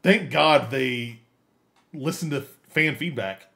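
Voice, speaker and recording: Medium-pitched man, halting casual talk. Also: gravelly, sharp tone. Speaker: podcast co-host. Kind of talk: casual chat.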